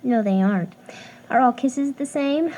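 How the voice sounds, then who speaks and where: A woman's voice: high voice, animated, measured pace, mellow. An actress playing an eager, meddling young woman in an audio drama, chatty, emphatic and pushy. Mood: bossy, instructive.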